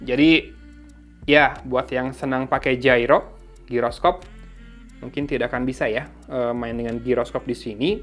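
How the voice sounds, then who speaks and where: A man presents a casual gadget review in a medium-pitched voice. He talks informally and thinks aloud as he reads through specifications and menus. Music plays behind his voice. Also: fairly even intonation, moderate pace. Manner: informal, thinking aloud while reading out specs. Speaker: tech reviewer. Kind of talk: casual unboxing and review commentary.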